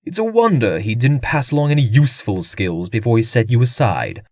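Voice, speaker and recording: A man acts out a haughty aristocratic swordmaster for a comic dub. His pitch swings wildly as he taunts and scolds with blunt contempt. The sound is dry and close. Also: big pitch swings, fairly quick, darkish tone, no room sound. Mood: stern, taunting. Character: haughty, boorish, menacing aristocrat fencing master.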